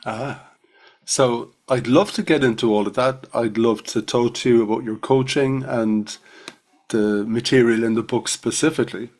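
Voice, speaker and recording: A man's voice: medium pitch, fairly even intonation, normal speed, a little mellow. A middle-aged man hosting a podcast, informal and jokey, telling anecdotes and asking questions casually. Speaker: podcast host. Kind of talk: conversational podcast interview.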